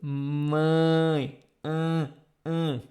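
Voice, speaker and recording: Brazilian woman, Portuguese teacher on a live stream, slow and patient, drills sounds over and over, camera mic. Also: sits low, little pitch movement, mellow. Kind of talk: live online language lesson.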